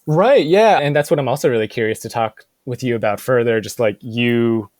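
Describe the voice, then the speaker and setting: An American man in his thirties chats casually and reflectively, often pausing to search for words and saying "like" a lot. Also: mid-range voice, a little mellow, dry recording, studio quality. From Seattle suburbs, United States. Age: 30s. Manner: halting, searching for words, frequent "like". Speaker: podcast co-host, bookstore manager.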